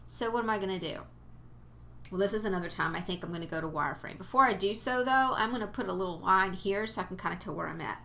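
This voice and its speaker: A woman's voice: medium pitch, fast talker, a bit bright. A woman teaching 3D modeling in a casual screen-recorded tutorial, chatty and rambling with playful exclamations, a bit sniffly. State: sniffly from allergies.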